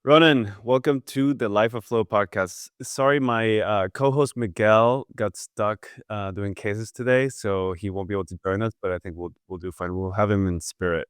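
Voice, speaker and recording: Low-voiced male podcast host, relaxed. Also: normal speed, few pauses, clear, resonant tone, dry recording, clean studio sound, soft background music. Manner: relaxed and informal, swears casually. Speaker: podcast host, vascular surgeon. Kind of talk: casual interview conversation.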